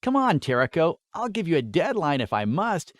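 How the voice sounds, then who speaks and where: A carefree, dramatic teenage boy from a fantasy storybook, performed by a man, with very animated pitch. He is pushy and exaggerated, pestering as he talks. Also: moderate pace, dry recording, clean studio sound.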